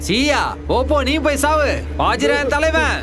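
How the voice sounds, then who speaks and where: A jeering turncoat thug. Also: male voice, extremely high pitch, unhurried, loud music behind the voice. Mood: gloating. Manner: jeering, cruel.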